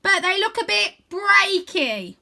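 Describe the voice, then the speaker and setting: A woman voicing a cocky, joking young boy adventurer in a storybook, calling out loudly and theatrically. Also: extremely high pitch, sing-song, slow, voice pushed up, exaggerated voice. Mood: teasing alarm.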